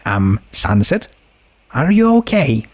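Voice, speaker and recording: A man's voice: mid-range voice, sing-song, slow pace, no echo. A man voicing a bookish, inquisitive teenage girl in a storybook, speaking in an articulate, analytical way. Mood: concerned.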